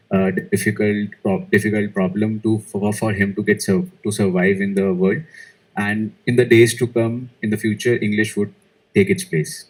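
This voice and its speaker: A man whose first language is Malayalam speaks in a fairly low voice. He answers test questions hesitantly, often restarting his sentences and filling pauses with "uh.". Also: breathy edge, poor audio. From India. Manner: hesitant, many fillers and restarts. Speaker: IELTS speaking test candidate. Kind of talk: exam interview answers.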